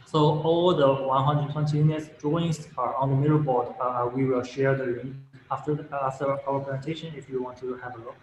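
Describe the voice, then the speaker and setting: A man's voice: mid-range voice, fairly quick, few pauses, muffled recording. Young man, echoey call. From Shanghai, China. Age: young adult. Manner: steady explanatory delivery with fillers. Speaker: graduate student presenting. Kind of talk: thesis presentation. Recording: echoey room with faint noise.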